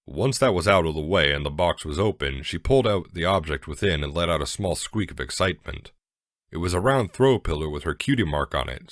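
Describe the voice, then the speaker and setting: A man's voice: a bass voice, a bit bright, dry recording, clean studio sound. A man narrating a scripted fan fiction story as a dramatic reading.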